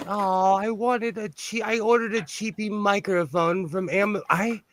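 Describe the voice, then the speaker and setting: A livestream guest chatting casually in a halting, self-deprecating way. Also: mid-range voice, steady tone, fairly bright tone. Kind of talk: casual chat.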